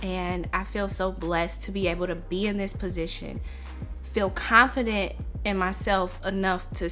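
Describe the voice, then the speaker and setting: Earnest young woman, slow and bright. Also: medium pitch. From United States. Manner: earnest, reflective, slow and heartfelt. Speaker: vlogger. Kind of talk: personal vlog talk to camera.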